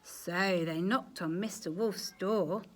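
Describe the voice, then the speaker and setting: A woman reading a children's story aloud with expressive, dramatic emphasis, as a storyteller addressing young listeners. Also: fairly low pitch, slow pace, a little hiss or hum. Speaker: storyteller, teacher.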